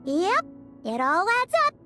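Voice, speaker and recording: A woman voicing a small, chirpy, curious sprite girl in a video game, with a high childlike, squeaky voice and an exclamatory way of talking. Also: wildly swooping pitch, measured pace, over music, heavily acted. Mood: curious, chatty. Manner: exclamatory, inquisitive.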